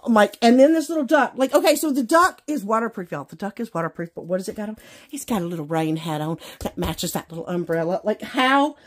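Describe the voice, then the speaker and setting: A woman's voice: mid-range voice, animated, quick pace. A woman chatting casually to viewers as a craft vlogger, in a gushing, exclamatory, folksy way. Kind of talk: casual unboxing chat to viewers. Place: indoors at a craft table.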